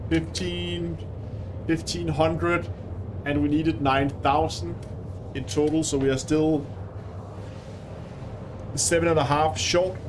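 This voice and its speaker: A man's voice: fairly high pitch, steady tone, slow pace, pauses often, noisy background. A man with a Danish accent hosting a casual gaming livestream, chatting with viewers and laughing often. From Denmark. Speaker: livestream host.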